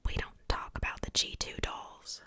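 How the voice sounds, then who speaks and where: Whispering woman, bright and breathy ASMR. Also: moderate pace. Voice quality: soft unvoiced whisper. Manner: rambling, casual, conversational asides. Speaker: ASMR video host showing a doll collection. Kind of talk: ASMR, casual chatty whispering.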